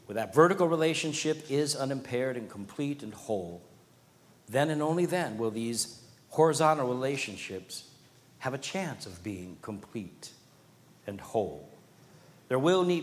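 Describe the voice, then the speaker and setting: A man preaching a sermon, swelling to emphatic points and dropping to quiet, earnest asides. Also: mid-range voice, big-room sound. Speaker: pastor.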